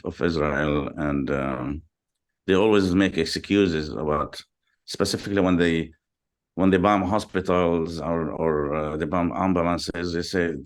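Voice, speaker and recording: A middle-aged man from Lebanon with a very low voice answers interview questions. He talks haltingly, full of fillers and repeated words. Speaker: guest. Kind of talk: video call interview.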